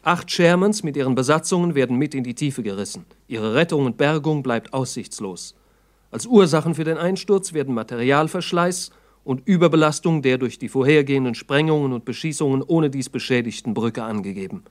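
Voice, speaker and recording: A man narrating a 1960s documentary radio drama in a sober, factual manner with measured diction. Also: mid-range voice, lively intonation.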